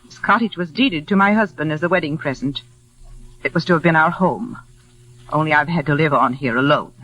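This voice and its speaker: An actress playing a stern, lonely older widow in a 1940s radio drama, clipped and brooding in delivery. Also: female voice, deep voice, fairly quick, a bit bright. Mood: bitter, lonely. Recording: muffled vintage radio recording.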